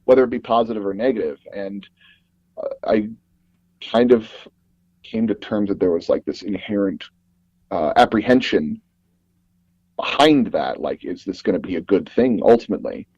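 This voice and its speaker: A man being interviewed as a guest, telling personal anecdotes in a rambling, halting way and often circling back to rephrase. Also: on the low side, lively intonation, a little mellow, breathy edge, dry recording, dull audio. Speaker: guest audio drama producer and actor.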